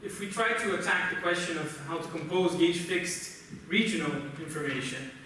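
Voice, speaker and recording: A man with a Brazilian accent, a native Portuguese speaker, gives a technical conference lecture in a halting, explanatory way. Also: on the high side, sharp tone. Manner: halting, explanatory, often checks with "right?". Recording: roomy, noisy conference hall, distant mic.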